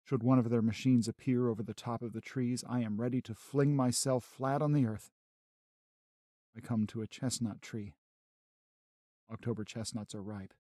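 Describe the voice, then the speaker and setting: Radio drama lead: brooding lonely survivor, a male scholar played by a man, deliberate journal narration, close dry sound. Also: mid-range voice, steady tone, warm tone, no echo, natural voice. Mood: wary resolve. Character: haunted male astronomy professor, lonely survivor of invasion.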